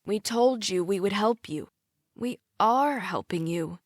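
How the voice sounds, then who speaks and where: Woman as concerned mother, gently protesting, careful, placating, audiobook dialogue, dry sound. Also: mid-range voice, lively intonation, unhurried, no echo.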